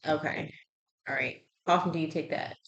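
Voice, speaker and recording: Low-pitched woman conducting a health intake interview. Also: wildly swooping pitch, brisk, creaky, fairly bright tone, lo-fi sound, slight background noise. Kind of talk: conversational health history questioning.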